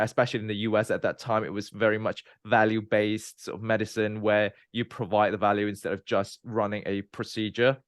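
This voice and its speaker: A man in his thirties from Malaysia, a scientist guest explaining his career in a measured way with frequent "um" and "you know". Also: on the low side, no room sound, studio quality. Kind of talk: interview, recounting his career.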